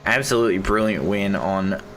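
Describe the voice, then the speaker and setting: Hoarse young Australian race commentator. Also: male voice, fairly low pitch, moderate pace, fairly bright tone, slight background noise. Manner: enthusiastic, repeats praise, casual slang. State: hoarse, losing his voice.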